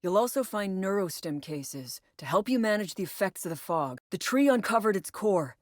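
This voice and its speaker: A woman's voice: mid-range voice, lively intonation, moderate pace, dry recording, close to an everyday voice. Woman acting as composed tactical agent, dry studio sound. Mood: focused, instructive. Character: composed female tactical operations agent briefing a squad on alien parasites. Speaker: mission briefer in a video game. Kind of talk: scripted video game voice lines.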